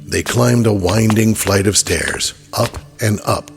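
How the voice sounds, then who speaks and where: Very deep male horror narrator. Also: slow pace, over music. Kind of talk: scripted horror audio drama narration.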